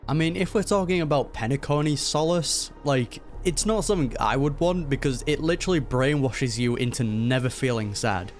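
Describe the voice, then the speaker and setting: A man's voice: mid-range voice, animated, normal speed, hardly any pauses. A man giving casual, slangy reaction commentary on a video game, with a wry manner. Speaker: gaming reaction host. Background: game music.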